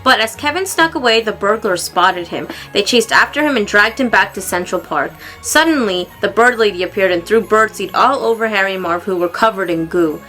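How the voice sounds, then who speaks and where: Bright-voiced woman reading a children's story. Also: mid-range voice, a little fast, few pauses, soft background music, poor audio. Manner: expressive, acting out exclamations and dialogue. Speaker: storybook reader. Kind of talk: read-aloud children's story narration.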